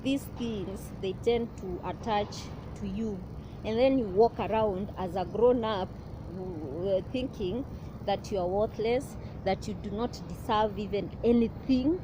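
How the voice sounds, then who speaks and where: A young woman, speaking in an earnest, halting way and often pausing to restart, in a personal vlog. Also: medium pitch. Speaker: vlogger. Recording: clear outdoor street noise behind.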